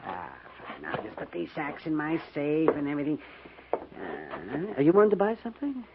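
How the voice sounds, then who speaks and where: A fussy frontier storekeeper who loves his money. His voice sits a bit high and moves around a lot as he mutters, hesitates and repeats himself. It comes through a tinny, noisy 1950s radio western recording. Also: huge pitch swings, moderate pace. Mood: fussy, eager.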